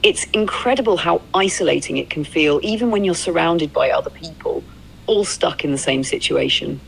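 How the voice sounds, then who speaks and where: An androgynous AI companion voice with a noticeably gravelly creak, speaking at a middling pitch. The delivery is fluent, reflective and agreeable, like conversational storytelling, with clear noise behind it. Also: moderate pace, fairly bright tone, rough recording. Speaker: AI companion playing a road-trip documentarian.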